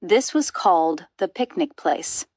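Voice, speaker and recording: A woman's voice: fairly low pitch, lively intonation, measured pace, a little mellow, no room sound, clean studio sound. A woman narrating a children's storybook as an audiobook reader.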